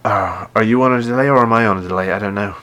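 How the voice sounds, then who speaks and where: Low-voiced guy streaming a game, kinda hesitant, trips over his words a lot. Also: quick pace, bright, forceful tone. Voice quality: deep voice. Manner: hesitant, stumbles and repeats words. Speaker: gaming live streamer. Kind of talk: casual chat while playing a game live.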